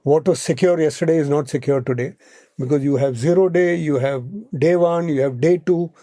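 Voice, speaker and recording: A man's voice: on the high side, normal speed, dark tone, dry recording, studio quality. A man with an Indian accent speaking as an expert podcast guest, explaining point by point in conversation and often checking in with "right?".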